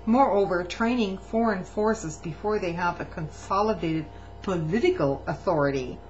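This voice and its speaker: A woman reading a written testimony aloud in a measured way, now and then stumbling and restarting a phrase. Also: lowish voice, darkish tone. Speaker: reader of a public document. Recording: muffled lo-fi recording with faint hum.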